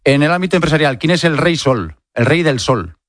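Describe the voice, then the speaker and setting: Fast, animated Spanish male interviewer. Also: fairly high pitch, no room sound, clean studio sound. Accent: Spanish, from Spain. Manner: informal, playful questioning. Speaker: radio interviewer. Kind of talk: quick-fire quiz interview.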